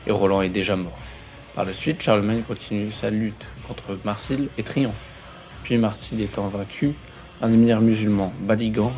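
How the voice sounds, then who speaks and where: A man's voice: on the low side, darkish tone. A man giving a scripted, formal educational narration as a history presenter. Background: background music.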